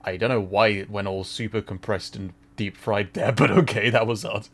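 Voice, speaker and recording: A man's voice: fairly low pitch, steady tone, moderate pace, a bit bright. A man hosting a game livestream in a casual, chatty and jokey style, lightly self-deprecating. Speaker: livestreamer, host. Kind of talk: casual game stream chat.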